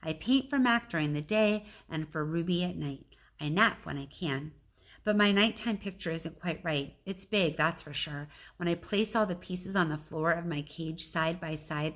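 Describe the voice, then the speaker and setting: A woman's voice: fairly low pitch, expressive, normal speed. A woman reading a children's storybook aloud like a teacher, with casual asides to her listeners.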